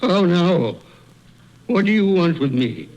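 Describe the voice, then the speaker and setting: A man's voice: high-pitched, sing-song, takes it slowly, a little mellow, slight background noise, full character voice. An actor playing a miserly, cold-hearted old businessman, skeptical and fearful, in a theatrical, blustering radio drama style. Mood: alarmed. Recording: dull lo-fi recording with music behind.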